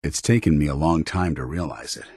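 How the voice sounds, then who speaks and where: A man playing a stern, earnest soldier who is secretly synthetic, speaking in a formal, measured military manner for a video game. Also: a bass voice, darkish tone, slightly rough, no echo, clean studio sound, full character voice. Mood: somber, earnest. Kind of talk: video game companion dialogue, dramatic acting.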